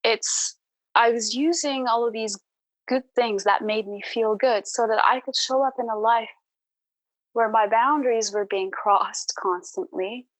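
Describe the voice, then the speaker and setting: A woman's voice: on the high side, a little slow, no room sound, poor audio. A woman podcast guest telling her personal story in an earnest, thoughtful, reflective way.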